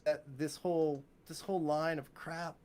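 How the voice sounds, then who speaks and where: A man's voice: a little high, little pitch movement, moderate pace, darkish tone, smooth. A man from the United States chatting casually as an interview guest, opinionated and emphatic, often repeating phrases for weight. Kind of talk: casual chat.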